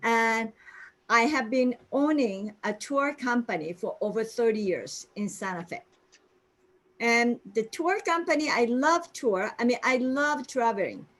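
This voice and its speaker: Japanese woman, 60s, slow earnest testimonial, a touch high-pitched, video call. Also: lively intonation, a bit bright. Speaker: guest speaker sharing her story.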